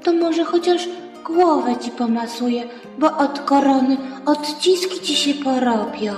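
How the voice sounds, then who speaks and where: A woman's voice: high voice, measured pace, a little mellow, pitched above the performer's own voice. A woman voicing a playful, chirpy female character in a comic sketch from a children's history story. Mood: doting. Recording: echoey hall, distant, music behind.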